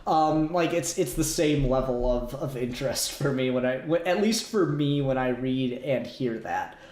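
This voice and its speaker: A man's voice: mid-range voice, expressive, normal speed, a little mellow. Man, podcast host, informal critical chat, hesitant phrasing, roomy echo. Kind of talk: casual critical discussion. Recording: roomy, echoey home recording.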